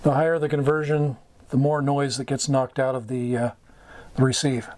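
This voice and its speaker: A middle-aged man giving a casual tutorial as host, speaking haltingly in short phrases with pauses. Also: mid-range voice, level tone. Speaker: host of a hobby radio tutorial. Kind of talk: casual whiteboard explainer. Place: small wood-paneled indoor room. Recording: clear background noise, room ambience.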